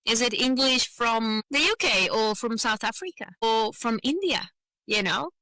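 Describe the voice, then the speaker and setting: A woman's voice: a little high, lively intonation, unhurried, bright, forceful tone, no echo. A woman with a Venezuelan accent, a native Spanish speaker, talking earnestly and explaining her points in a conversational interview, often adding "you know". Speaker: podcast guest, advocate for migrant professionals.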